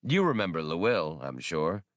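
A man's voice: low voice, very animated, normal speed, a bit bright, no echo, clean studio sound, voice pushed down. Man playing a genial, fatherly old smuggler. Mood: friendly. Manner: easygoing, fatherly. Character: genial, paternal older male ex-smuggler, friendly and trustworthy.

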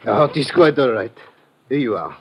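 An actor playing a small, polite, hurried Parisian man, fussy and formal, in old radio drama style. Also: mid-range voice, expressive, breathy edge. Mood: polite, flustered. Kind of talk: 1950s radio drama acting. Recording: muffled old radio recording with music.

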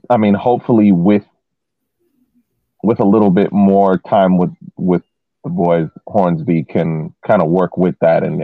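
A man on a sports podcast giving casual soccer analysis in halting phrases, through thin phone-like audio. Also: low voice, no echo.

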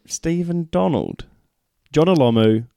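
A man's voice: a little high, animated, unhurried, gravelly, warm tone, clean tone, dry recording, studio quality. A young man co-hosting a casual rugby podcast, joking and laughing with his co-host. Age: young adult. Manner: jokey, laughs often, teases co-host.

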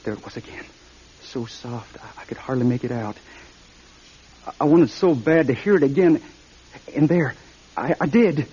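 An actor playing a scared, suspicious young man in a radio drama, speaking in an anxious, halting stammer. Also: medium pitch, warm tone, breathy edge. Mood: dread, halting recollection. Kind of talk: audio drama acting, first-person narration and dialogue. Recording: dull old radio drama, music behind.

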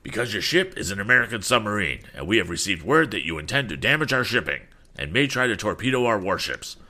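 A man performs a commanding gold-laced naval officer for an adventure storybook. He is imperious and theatrical, barking his orders in a low voice with big swings of pitch. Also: big pitch swings, quick pace, sharp tone, heavily acted. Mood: imperious, menacing.

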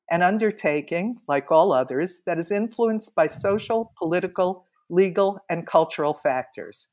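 A woman podcast host reading a formal introduction and asking interview questions in a measured way. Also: lowish voice.

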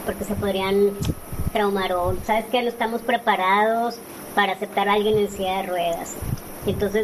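A Mexican woman in her forties talks as an interview guest, recounting personal experience in a conversational way. Her voice sits at a medium pitch, with clearly audible noisy ambience behind it. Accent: Mexican Spanish. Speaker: interview guest, author and speaker.

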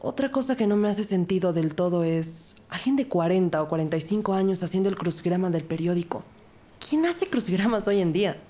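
A woman's voice: on the low side, lively intonation, fairly quick, mellow. A woman playing a skeptical young police detective who narrates her investigation in an audio drama. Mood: suspicious, puzzled. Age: young adult. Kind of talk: audio drama dialogue and first-person narration.

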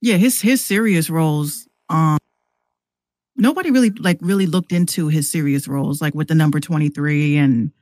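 A man's voice: on the high side, moderate pace, darkish tone, no room sound, studio quality. A man talking casually as a podcast guest, rambling and repeating words as he works out his thoughts. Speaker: podcast guest and music maker. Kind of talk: casual conversational podcast talk.

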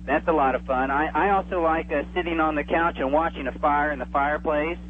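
A man's voice: a little high, steady tone, brisk. A man chatting enthusiastically as an expert guest in a casual radio interview, calling in by telephone. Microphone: telephone call. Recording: tinny phone line with hum and hiss.

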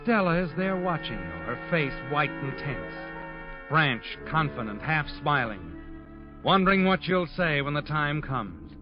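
Old radio crime narrator man, slightly high voice, knowing and taunting, muffled w/ loud music behind. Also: big pitch swings. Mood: ominous. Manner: measured, knowing, taunting second-person address. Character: omniscient taunting male narrator of crime tales. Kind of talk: 1940s radio drama narration.

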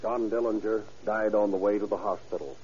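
A man's voice: on the low side, fairly even intonation, a little fast. A man with an American accent gives a solemn, formal scripted address in 1930s radio style, as a police chief. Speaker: police chief guest commentator. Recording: muffled vintage 1930s radio broadcast.

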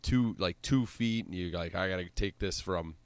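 A man's voice: low voice, moderate pace, no echo. A man with a central Illinois accent, hosting a casual sports podcast with exasperated, sweary rambling.

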